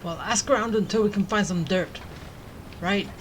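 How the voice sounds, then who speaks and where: A woman's voice: mid-range voice, moderate pace, noisy background. A woman giving casual commentary as she plays through a video game. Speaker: gaming streamer. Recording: game music behind the voice.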